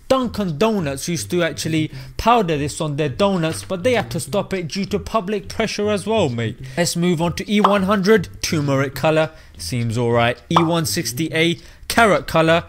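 A man's voice: high voice, fairly bright tone. A young British man presenting scripted comic commentary to camera in a slangy, exaggerated, mock-indignant manner. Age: young adult. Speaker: comedy presenter. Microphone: desk microphone on boom arm.

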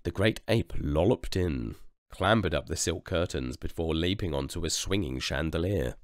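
A man reading a children's story aloud with expressive narration. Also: low-pitched, normal speed, dry recording, clean studio sound. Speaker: storybook reader.

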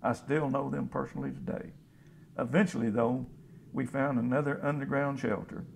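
An actor playing a folksy, plain-spoken, hopeful scavenger in a radio drama about survivors of a disaster. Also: male voice, mid-range voice, fairly even intonation, normal speed, vocal fry, a little hiss or hum. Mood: reflective, matter-of-fact. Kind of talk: radio drama, interview monologue.

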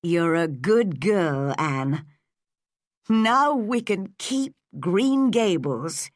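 A woman voicing a worried, gentle elderly woman, soft and sorrowful. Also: on the low side, expressive, extremely slow, dry recording, studio quality.

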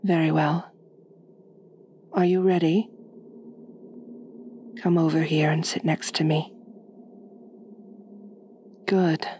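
A woman voicing a gentle, possessive aristocratic vampire mistress in an ASMR roleplay, soft-spoken, formal and soothing. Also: sits low, level tone, slow pace, long pauses, a little hiss or hum.

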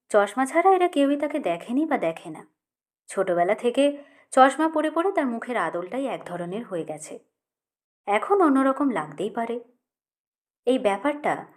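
A woman reading a literary short story as an audiobook narrator. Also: on the high side.

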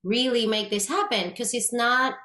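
A woman's voice: fairly high pitch, moderate pace. A woman in her thirties with a Puerto Rican accent, a guest actress chatting animatedly over a video call. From Puerto Rico. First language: Spanish. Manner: animated, gestures a lot, restarts often. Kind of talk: casual interview chat. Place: small room with plain grey wall, indoors. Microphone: video call webcam. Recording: roomy echo.

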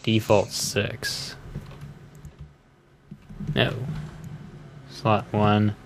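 A man chatting casually and reading game text aloud haltingly while he types. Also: lowish voice, level tone. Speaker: podcast host playing a game. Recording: clear noise, keyboard clatter. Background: computer keyboard typing.

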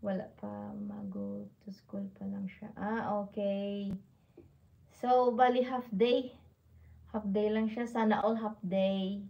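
Slow-paced Filipino woman chatting casually. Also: medium pitch, flat delivery, creaky, lo-fi sound. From Philippines. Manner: mixes Tagalog words into English. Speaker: livestream host. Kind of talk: casual livestream chat, reading viewer comments. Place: room at home. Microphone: phone at arm's length.

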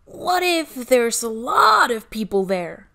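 Woman playing an anxious little storybook boy, high-pitched. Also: very animated, takes it slowly, creaky, bright, forceful tone, exaggerated voice. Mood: worried. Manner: worried, questioning. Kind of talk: children's storybook read-aloud.

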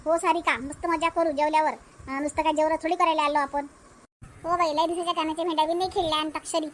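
Casting: female actor as teasing cartoon village woman, Varhadi Marathi accent, extremely high voice, slight background noise. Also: fairly even intonation, fast, fairly bright tone. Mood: playful, chatty. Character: chatty, teasing village women at a picnic lunch. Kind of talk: amateur cartoon comedy dialogue.

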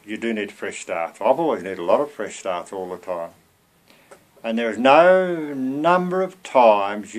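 A man in his seventies giving an informal, earnest, conversational sermon to camera as a lay preacher, often turning to rhetorical questions, recorded at home on a computer mic. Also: fairly low pitch. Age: 70s. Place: small cluttered room at home.

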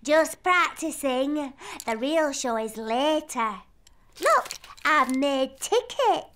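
A performer voicing an expressive, imaginative and bossy little girl princess in a preschool cartoon. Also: high-pitched, takes it slowly, slight background noise, heavily acted. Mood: playful, eager. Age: child. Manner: expressive, childlike, eager.

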